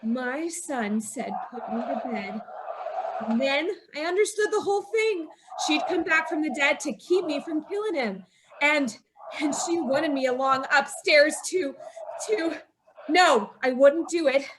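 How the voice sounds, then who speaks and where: A woman narrates a radio horror tale in the first person, her voice high. She sounds tense and haunted, and she climbs toward a frantic edge. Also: expressive, unhurried, background noise. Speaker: narrator and lead character. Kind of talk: old-time radio drama narration.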